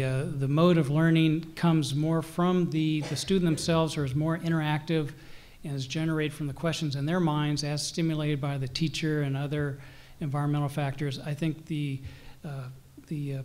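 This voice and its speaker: A hoarse man in his forties, a school board candidate, speaking earnestly and wordily into a desk mic. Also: on the high side. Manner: earnest, wordy, with restarts. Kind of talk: forum statement. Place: meeting room at a panel table. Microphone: desk mic on a stand.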